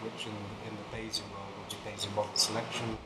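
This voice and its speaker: A middle-aged man with an English accent, a quiet, soft-spoken academic panelist who restarts his sentences often. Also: on the low side, level tone, quick pace, crowd noise. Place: seminar room with a panel table. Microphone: table microphone. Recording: echoey room with background noise.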